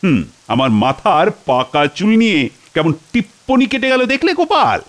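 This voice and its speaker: A man's voice: sits high, wildly swooping pitch, moderate pace, faint music behind. A man playing a vain, anxious middle-aged king in a comedy cartoon, dramatic, fussy and commanding in manner. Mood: hurt, fretful. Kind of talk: Bengali comedy cartoon voice acting.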